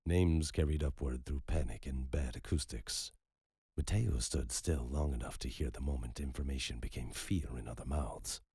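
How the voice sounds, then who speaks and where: A man narrating a dark story in a slow, brooding, measured way. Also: rumbling low, breathy edge, dry recording, studio quality.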